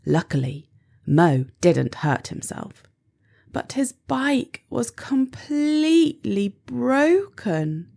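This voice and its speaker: A woman storyteller reading a children's picture book aloud in an expressive, deliberate way for young listeners. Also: fairly high pitch, creaky. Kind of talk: scripted children's audiobook narration.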